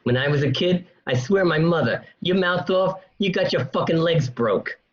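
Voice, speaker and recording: A man's voice: a little high, lively intonation, moderate pace, natural voice. A man playing a mouthy, contemptuous old-school mobster in an amateur radio play, taunting and scornful. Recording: roomy, dull lo-fi home recording.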